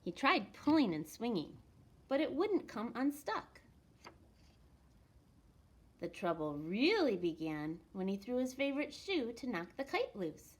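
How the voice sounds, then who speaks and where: A woman reads a children's picture book aloud in an expressive storytelling manner, with deliberate pauses and emphasis. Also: on the high side. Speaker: elementary school storytime reader.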